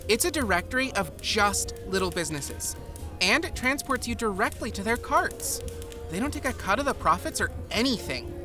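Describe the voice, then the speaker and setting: Woman as an upbeat, animated helpful shopper, music behind. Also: mid-range voice, lightly acted. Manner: enthusiastic, explanatory. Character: upbeat, helpful female shopper in a fantasy market. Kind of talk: scripted comic podcast ad.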